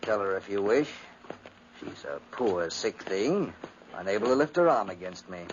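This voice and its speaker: An actor playing an unstable, sinister brother with melodramatic 1950s radio acting. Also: male voice, fairly low pitch, expressive, moderate pace, close to an everyday voice. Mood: curt, menacing. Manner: melodramatic, swinging from curt to frantic. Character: unstable, sinister gothic brother, volatile and tormented. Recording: muffled, hissy 1950s radio recording.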